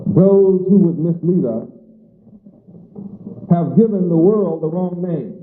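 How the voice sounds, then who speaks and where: A man preaches in a measured, emphatic cadence, stacking phrase upon phrase. His voice sits on the higher side. It comes through a thin, phone-like old recording that rings with room echo. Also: slight background noise. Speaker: religious teacher. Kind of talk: sermon. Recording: echoey lo-fi phone-like archive recording.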